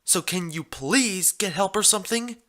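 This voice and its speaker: A man's voice: high-pitched, moderate pace, bright, forceful tone, voice pushed up. A man voicing an injured female pony nurse in a story reading, embarrassed and exasperated, hesitant and protesting.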